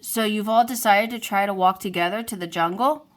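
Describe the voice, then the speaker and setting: A woman voicing a kind, helpful female giraffe in a children's storybook read-aloud, bright and eager. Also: medium pitch, brisk, close to an everyday voice. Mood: friendly.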